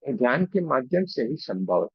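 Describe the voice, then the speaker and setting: A man leads a guided meditation in a mid-pitched, creaky voice. He speaks very slowly and devotionally, pausing between short phrases. The sound is close and dry, though somewhat dull. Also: very animated, no room sound. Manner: gentle, unhurried, devotional, phrase by phrase. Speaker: meditation guide and Reiki teacher. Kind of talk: guided meditation, slow spoken instruction with pauses.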